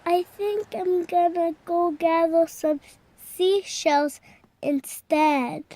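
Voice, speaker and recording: Very high, timid little child puppet. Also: fairly even intonation, darkish tone, dry recording, heavily acted. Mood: hesitant, glum. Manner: slow, hesitant speech. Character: timid, anxious little child animal puppet, reluctant and fretful. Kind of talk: children's puppet show voice acting.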